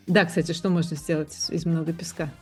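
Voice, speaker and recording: A woman's voice: low voice, level tone, brisk, a little mellow, soft background music. A woman co-hosting a podcast, musing aloud in a casual, tentative and meandering way. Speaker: podcast co-host.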